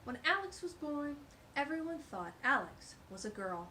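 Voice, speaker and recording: A woman's voice: high-pitched, big pitch swings, normal speed, bright, forceful tone, slight background noise, rough recording. A woman hosting a children's storytime, reading a picture book aloud with gushing, exclamatory asides.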